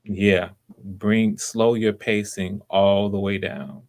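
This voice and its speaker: A man hosting live auditions, giving casual, encouraging coaching in a halting way with repeated phrases. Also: lowish voice, steady tone, darkish tone. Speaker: host of live voice auditions, director giving notes.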